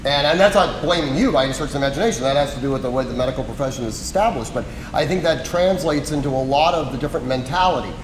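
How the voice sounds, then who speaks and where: A man's voice: mid-range voice, racing, hardly any pauses. Man moderating in an echoey hall. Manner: conversational, emphatic. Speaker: panel moderator. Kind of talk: moderating a panel discussion. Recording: echoey hall with background noise.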